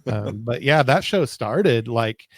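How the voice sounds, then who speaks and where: Low-pitched American man, casual and dry-sounding. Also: animated, slow, no echo. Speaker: podcast co-host. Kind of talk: casual chat.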